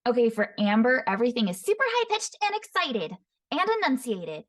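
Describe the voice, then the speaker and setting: A woman voice actor teaching voice impressions, describing each voice in short phrases and often breaking into quick character demos. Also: on the high side, big pitch swings. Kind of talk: voice impression tutorial. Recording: voice somewhat far from the mic.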